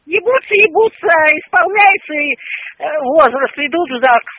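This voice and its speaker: A shrill, indignant older woman cursing down a tinny phone line, her very high voice scolding without pause. The sound is dry and squeezed into a narrow telephone band. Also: animated, no echo. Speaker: prank call target. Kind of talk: phone argument.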